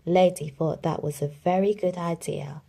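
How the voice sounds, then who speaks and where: A woman reading a children's storybook aloud in an expressive storytelling manner. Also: on the low side, moderate pace, gravelly. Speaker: children's book reader.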